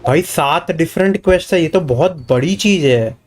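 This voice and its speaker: Fast-talking man streaming a game. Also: a little high. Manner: informal, mixes English into speech. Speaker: gaming streamer, host. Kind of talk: casual game-stream commentary. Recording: faint game music behind. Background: faint game music.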